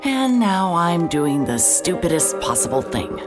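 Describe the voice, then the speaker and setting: An actress playing a stubborn, sarcastic, exasperated woman in a naturalistic audio drama, blunt and quick to swear. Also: lowish voice, big pitch swings, music in the background. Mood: exasperated, agitated.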